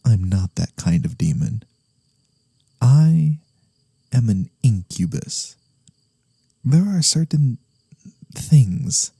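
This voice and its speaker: Guy doing a teasing incubus demon for asmr, low soft husky voice, slow, slips into whispers. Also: no echo, full character voice. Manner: breathy, teasing, drifting into whispers. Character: male incubus demon, teasing, theatrical yet wholesome. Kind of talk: soft-spoken ASMR fantasy roleplay.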